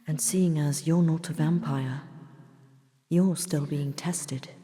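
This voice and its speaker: A woman's voice: deep voice, fairly even intonation, slow, warm tone. A woman playing a shrewd, composed vampire princess and doctor in an audio drama, with dry scorn. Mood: cold, accusing. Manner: composed, accusatory, dryly scornful. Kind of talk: scripted immersive audio drama. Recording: long reverberant hall sound.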